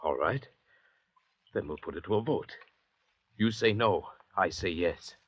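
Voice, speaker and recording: An actor playing a calculating, treacherous doctor adrift at sea, theatrical and controlled, in 1940s radio style. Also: male voice, low voice, a little slow, a little hiss or hum. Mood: stern, measured. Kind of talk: 1940s radio drama acting. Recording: muffled restored 1940s radio recording.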